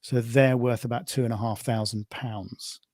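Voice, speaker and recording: A man with a British accent hosting an informal online presentation as a competition organiser, speaking in a conversational, halting way. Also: medium pitch, steady tone, a little mellow, no room sound, clean studio sound. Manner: conversational, halting, often repeats "you know".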